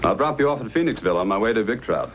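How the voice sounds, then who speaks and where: Commanding Yukon Mountie played by a man, lowish and animated, vintage radio serial with background noise. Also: rapid-fire, muffled recording, lightly acted. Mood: concerned, helpful. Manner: commanding, questioning, firm. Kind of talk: old-time radio acting. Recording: noisy old radio drama recording.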